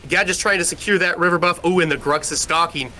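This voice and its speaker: A man calling an esports match as play-by-play caster, with a loud, powerful voice. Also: fairly high pitch. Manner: rapid, energetic play-by-play. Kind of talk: play-by-play commentary.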